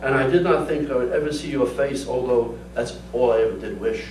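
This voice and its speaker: A man's voice: mid-range voice, fairly quick, a little mellow, close to an everyday voice. A weathered old sailor read aloud by a man speaks in folksy turns of phrase. He is wistful, wounded and pleading, as in a literary story. The sound is roomy and slightly distant, with faint noise.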